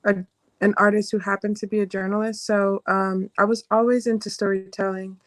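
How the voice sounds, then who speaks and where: A woman's voice: mid-range voice, little pitch movement, fairly quick, no room sound. A woman speaking as a panelist about her own work, hesitantly searching for words. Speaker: panelist, journalist. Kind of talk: personal explanation in a panel introduction.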